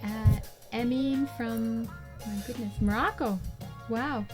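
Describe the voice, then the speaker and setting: Female co-host, fairly high voice, brisk list reading, music clearly under her. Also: steady tone. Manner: brisk, reads names and places in turn. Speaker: podcast co-host. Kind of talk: reading out listener greetings.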